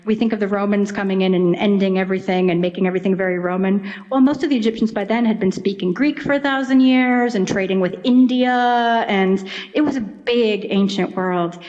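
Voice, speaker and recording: Female expert interviewee, medium pitch, conversational storyteller who voices imagined quotes, lo-fi sound. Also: brisk, a little mellow. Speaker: Egyptologist, interview guest. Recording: dull, lo-fi audio.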